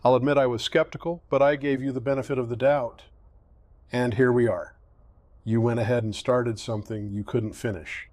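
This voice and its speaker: A man's voice: mid-range voice, fairly even intonation, normal speed, darkish tone, no echo, lightly acted. A man playing a self-important, cowardly settlement leader in an audio drama, pompous and sarcastic. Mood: scornful, indignant. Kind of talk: full-cast audio drama acting.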